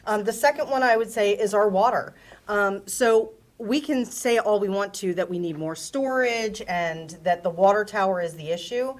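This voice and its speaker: A woman from New answering as a candidate at a town forum, earnest and measured, with frequent ums. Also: medium pitch.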